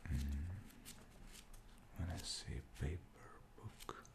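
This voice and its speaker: A man with a Russian mother tongue whispers in a deep, gravelly hush. He speaks very slowly, with long pauses and soft fillers between phrases, in an unhurried ASMR style. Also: noisy background. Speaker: ASMR creator. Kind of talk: whispered ASMR show-and-tell.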